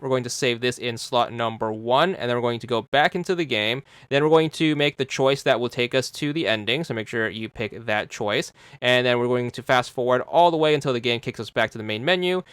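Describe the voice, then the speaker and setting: A man narrates a game guide in a smooth, clear voice at a middle pitch. He talks viewers through it step by step with casual, instructional phrasing. The sound is dry and close. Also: a bit bright, no echo. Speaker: host of a game achievement guide. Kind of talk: scripted walkthrough narration addressed to viewers.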